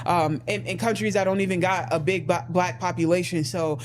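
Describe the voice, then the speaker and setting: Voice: mid-range voice, steady tone, brisk. Streamer rambling along, lots of ums, faint noise behind. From United States. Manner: rambling, many ums and restarts. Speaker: stream co-host. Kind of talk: casual stream discussion.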